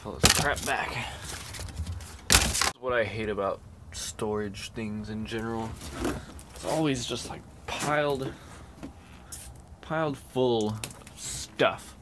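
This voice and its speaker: A man's voice: medium pitch, takes it slowly, pauses often, heavy background noise. A young man casually vlogging, grumbling to the camera while searching a cluttered shed and heading out in the rain, filmed at arm's length. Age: young adult. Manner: laid-back, grumbling asides to camera. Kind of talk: casual vlog chat. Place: cluttered storage shed, then outdoors in rain. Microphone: handheld camera at arm's length. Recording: loud background music.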